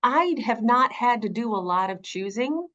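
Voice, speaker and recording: A middle-aged woman speaking conversationally in an interview as an audiobook narrator, with earnest, expressive and emphatic phrasing. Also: fairly high pitch, normal speed, highs cut off.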